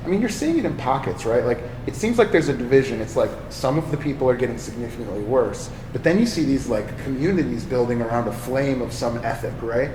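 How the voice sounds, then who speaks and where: A man's voice: medium pitch, expressive, quick pace, few pauses. A man from Virginia hosting a podcast, musing and agreeable, with some profanity. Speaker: podcast host. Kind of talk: casual podcast conversation. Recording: echoey room, distant mic, noisy.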